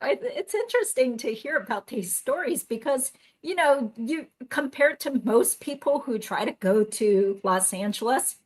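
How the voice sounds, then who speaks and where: Curious woman host, slightly high voice. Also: lively intonation, normal speed, few pauses. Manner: curious interviewer, asks prompting questions. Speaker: lawyer and show host. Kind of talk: casual chat.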